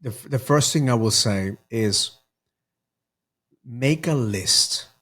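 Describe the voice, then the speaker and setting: A man's voice: medium pitch, slow pace, a bit bright, slightly rough. A middle-aged man from Ecuador, a mindset coach talking earnestly as a podcast guest, often repeating words as he restarts. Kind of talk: podcast interview.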